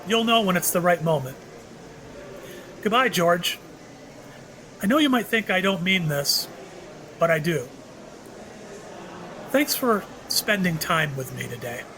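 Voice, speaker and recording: A mysterious male shopkeeper, played by an actor in an audio drama, with a high, very lively voice. He is courteous and apologetic, hesitating as he offers cryptic remarks, over clear background noise. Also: a little slow, pauses often, exaggerated voice, low-quality recording. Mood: apologetic, kindly.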